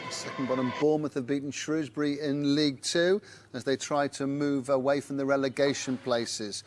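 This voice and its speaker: A man's voice: medium pitch, level tone, normal speed, slight background noise. A man presenting live football results from a studio, linking to match reporters.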